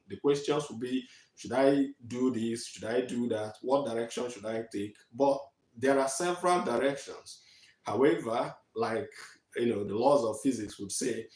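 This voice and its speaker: A man from Nigeria in his thirties giving a measured online talk from slides to students, with frequent fillers. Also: medium pitch, darkish tone, roomy sound, poor audio. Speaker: guest speaker. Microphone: video call.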